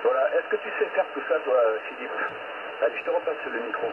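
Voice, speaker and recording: A man's voice: mid-range voice, animated, quick pace. A man chatting casually over two-way radio as he leads a group exchange, rambling, hesitating and repeating his phrases. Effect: radio transmission. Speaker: amateur radio operator leading a group chat. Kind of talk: casual CB radio chat in turns. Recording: tinny narrowband radio, loud static noise.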